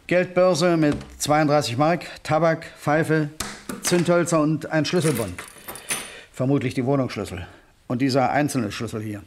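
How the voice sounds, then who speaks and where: A man's voice: fairly high pitch, measured pace, slight background noise, natural voice. An actor playing a dutiful junior policeman, reporting item by item in a German radio crime drama. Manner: matter-of-fact, itemising report.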